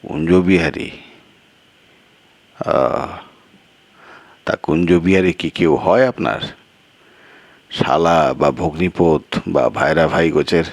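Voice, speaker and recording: A man's voice: rumbling low, slow, long pauses, no echo, exaggerated voice. A man voicing a sly, evasive village local in a storytelling piece, with a drawling, folksy and boastful manner. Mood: coy, prying. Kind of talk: acted storytelling dialogue.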